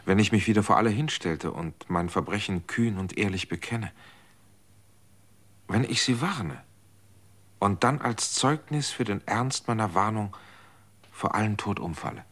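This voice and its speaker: A man's voice: fairly low pitch, a little slow, long pauses, a bit bright. An actor in a radio drama playing a guilt-ridden man who pleads and accuses. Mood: anguished, accusing.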